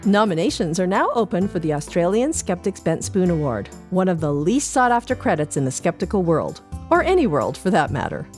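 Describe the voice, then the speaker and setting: Podcast newsreader: Canadian female, animated and playful delivery of script, soft music underneath. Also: lowish voice, fairly quick, hardly any pauses. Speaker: newsletter reader on a podcast. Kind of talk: light-hearted scripted news reading.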